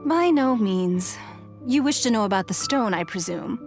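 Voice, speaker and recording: An actress voicing an elegant, haughty noblewoman in a fantasy video game, refined, measured and dryly condescending. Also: on the high side, animated, darkish tone, soft background music. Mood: wry, teasing. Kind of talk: video game character acting.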